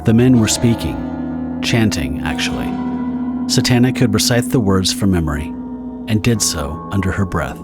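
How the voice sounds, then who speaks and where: A man's voice: sits low, animated, moderate pace, over loud music. A man narrating a dramatic story from a script.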